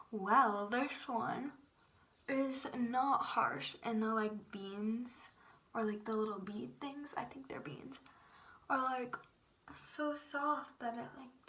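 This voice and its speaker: A young woman with a soft voice chats casually to viewers like a beauty vlogger, gushing and peppering her talk with "like". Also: a little high. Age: teens to young adult. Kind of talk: casual chatty vlog to viewers.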